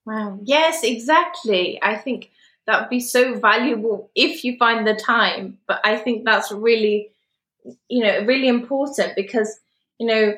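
A woman's voice: a little high, a little slow, a bit bright, echoey room. A woman hosting an interview podcast, enthusiastic and encouraging, with many "like" and "you know" fillers. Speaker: podcast host. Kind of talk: interview conversation.

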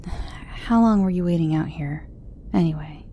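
A woman's voice: on the low side, fairly even intonation, normal speed, dark tone, slight background noise, lightly acted. A woman voicing a sullen, curt man who grumbles his short replies.

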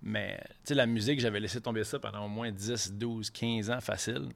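A man's voice: lowish voice, steady tone, brisk, vocal fry. A man from Quebec City, in his thirties, with a Quebec French accent, a chef guest in a casual podcast interview, rambling in franglais with frequent swearing.

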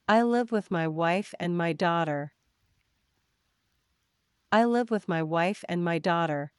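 A woman teacher reads short practice sentences at a very slow, careful pace. Her voice is smooth and clear, a little low, and sits close and dry. She says each sentence twice, the same way both times. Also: dry recording. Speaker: English teacher. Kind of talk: reading example sentences for learners.

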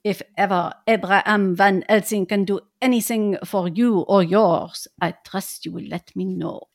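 A woman's voice: mid-range voice, steady tone, a little slow. A woman voicing an earnest, effusive old Dutch professor who speaks in broken, formal English. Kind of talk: reading a novel aloud in roles.

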